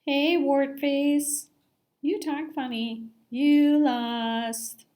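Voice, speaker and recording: A taunting storybook bully, acted out by a woman reader. The jeers are singsong name-calling, pushed higher and drawn out slowly. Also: steady tone, warm tone. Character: teasing fishermen casting insults as hooks.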